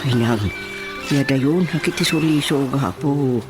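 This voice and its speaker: A woman's voice: a bass voice, unhurried. A woman playing a flustered, stammering character in a radio comedy drama, full of groans and exclamations. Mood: reluctant. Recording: clear music behind the voice.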